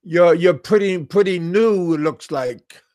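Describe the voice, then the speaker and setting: Male livestream host from Alaska, unhurried and halting, lots of restarts, dry close sound. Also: sits high, darkish tone, no room sound. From Alaska, USA. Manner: halting, repeats words and restarts often. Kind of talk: casual live-chat talk reading viewer comments.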